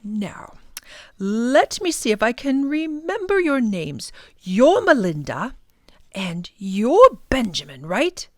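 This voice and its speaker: A polite, genial male professor from a storybook, as a woman reading aloud puts him on. He speaks slowly and gently, with a lively, rising and falling lilt. The sound is close and dry. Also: a little high, no room sound, exaggerated voice. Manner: gentle and courteous. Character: kindly, polite middle-aged male professor.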